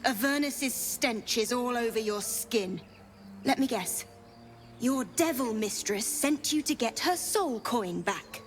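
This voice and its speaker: Frank, higher-voiced woman survivor. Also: animated, slow pace, soft background music. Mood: wary, wry. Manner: frank, pragmatic, guarded. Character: wary, plain-spoken woman survivor in a fantasy world. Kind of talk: video game character acting.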